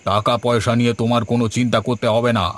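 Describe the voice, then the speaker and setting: A man's voice: medium pitch, flat delivery, racing, lightly acted. A man voicing a hearty, generous older male landlord in a cartoon. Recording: background music.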